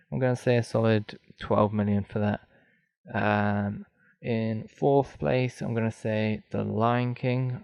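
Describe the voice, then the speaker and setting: A man's voice: fairly low pitch, gravelly, dry recording, dull audio. A man hosting a solo film podcast, rambling and thinking aloud with many ums, his voice getting dry. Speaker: podcast host.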